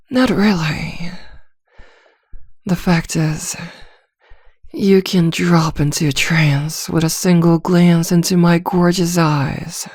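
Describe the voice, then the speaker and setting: A woman playing an arrogant, seductive vampire villainess in an intimate ASMR roleplay, soft-voiced and teasing, with a commanding, hypnotic manner and frequent drops toward a whisper. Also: on the low side, dry recording, studio quality. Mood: amused, gloating. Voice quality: soft, often dropping into whispers.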